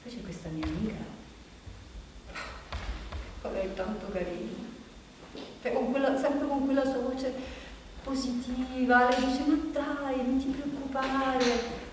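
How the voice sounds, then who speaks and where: An actress playing an anxious, bitter woman nearing forty in a halting, repetitive, confessional stage monologue. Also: high-pitched. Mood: bitterly wry. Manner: halting, repetitive, insistent, with rhetorical questions. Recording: echoey hall from far off, background noise.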